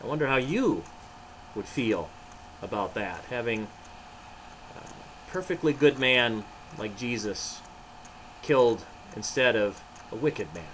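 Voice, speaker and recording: A man's voice: mid-range voice, animated, slow pace, pauses often, fairly bright tone, a little hiss or hum, low-quality recording. A man, a pastor, reading a story and talking to children in a dramatic, emphatic way. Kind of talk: animated storybook narration and children's devotional.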